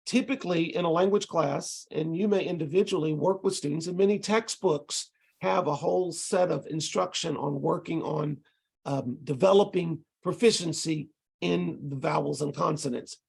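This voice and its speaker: A middle-aged man with a Texas accent, giving a relaxed, conversational lecture over a video call with frequent ums and restarts. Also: fairly high pitch, moderate pace, gravelly, husky. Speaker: ESL instructor presenting to tutors.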